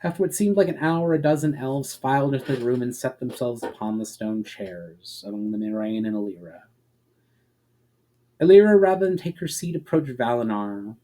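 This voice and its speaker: A man narrating a fantasy story as an expressive, dramatic reader. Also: medium pitch, normal speed, rough recording. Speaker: narrator and author.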